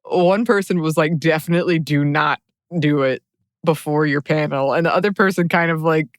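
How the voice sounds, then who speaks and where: Clear, smooth woman podcaster with low voice. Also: normal speed, no echo, studio quality. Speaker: podcast co-host. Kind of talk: casual conversational storytelling.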